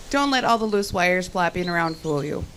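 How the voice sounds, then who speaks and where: A woman's voice: on the low side, lively intonation, a little slow, a bit bright, low-quality recording. Confident sarcastic lady tech in an audio drama, teasing and dry, bit of noise behind. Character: confident, teasing, fit woman cell tower technician.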